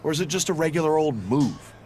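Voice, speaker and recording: A man's voice: a little high, fast, a little mellow, natural voice. Guy voicing smug young wrestler dude in a game cutscene, condescending, bit of background noise. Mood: smug musing. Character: cocky young male pro wrestler taunting rivals. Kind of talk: video game cutscene acting.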